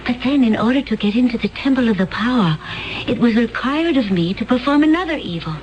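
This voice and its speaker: An actress playing a cold, vicious assassin from another planet in a 1970s radio drama, intense and gloating, at times dropping to a hushed whisper. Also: female voice, fairly high pitch, lively intonation, fairly quick, natural voice, poor audio. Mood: haunted.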